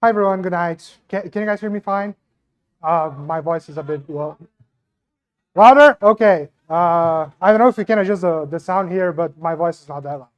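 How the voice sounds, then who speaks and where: A man's voice: high voice, normal speed, smooth. A young man with a Brazilian accent and native Portuguese gives an informal, self-deprecating science talk into a microphone at a live event. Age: young adult. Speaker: astronomy researcher giving a talk. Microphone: handheld microphone.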